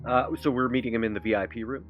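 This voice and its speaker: A man playing a tabletop roleplay, describing things in a halting, detailed way with many pauses. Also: mid-range voice, fairly even intonation, dull audio, soft background music. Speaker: player.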